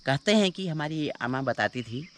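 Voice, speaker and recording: A man's voice: a little high, expressive, moderate pace, slight background noise. A man in his forties from the hills of Uttarakhand, a native Kumaoni speaker with a Kumaoni accent, chatting casually in an earnest, rambling, philosophical way. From Uttarakhand hills, India. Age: 40s. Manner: rambling, earnest, philosophical, switches into hill dialect. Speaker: local villager being interviewed. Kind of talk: casual conversation. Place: outdoors in a hill village.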